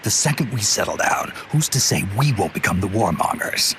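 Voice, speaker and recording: An actor playing a gruff, world-weary soldier of fortune in a game cutscene, with a terse, guarded, raspy delivery. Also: male voice, on the low side, big pitch swings, moderate pace, over music, poor audio. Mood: weary, wary. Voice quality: gruff, gravelly rasp. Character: gruff, world-weary legendary mercenary commander. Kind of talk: video game cutscene voice acting.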